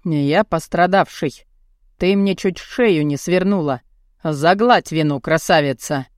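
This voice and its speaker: A gruff, burly, bearded man performed by a woman narrator speaks low in an audiobook. He is brazen and lecherous, with a blunt, mocking, commanding delivery. The sound is dry and close. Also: a little slow, dry recording. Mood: mocking, insinuating. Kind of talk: audiobook character dialogue.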